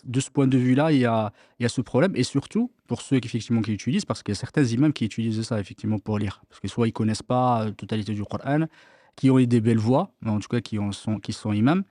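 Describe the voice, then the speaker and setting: A man's voice: medium pitch, fast, dark tone, dry recording, studio quality. A man hosting an Islamic radio discussion. He talks hesitantly, restarts often and peppers his questions with Arabic blessings. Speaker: host of an Islamic radio show. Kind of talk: religious discussion, asking questions.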